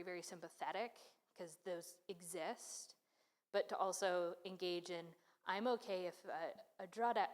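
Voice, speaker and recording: Lower-pitched woman speaking earnestly. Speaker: class participant. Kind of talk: group book discussion remarks.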